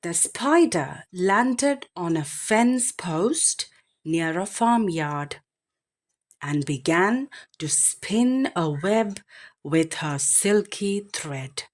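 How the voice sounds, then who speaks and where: A woman reading a children's picture book aloud in an expressive, deliberate bedtime storytelling manner. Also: on the low side. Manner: expressive, deliberate phrasing with animal sound imitations.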